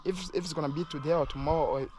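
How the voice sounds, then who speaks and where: A man hosting an interview, speaking haltingly and restarting phrases as he searches for words. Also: on the high side, fairly even intonation. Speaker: host. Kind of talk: casual interview. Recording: noisy outdoor recording.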